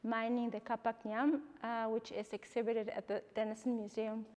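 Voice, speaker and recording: A woman from Ecuador with an Ecuadorian Spanish accent, explaining her exhibition as an artist and professor in measured, hesitant phrasing. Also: a little high, flat delivery, a little mellow. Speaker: artist and art professor presenting own exhibition.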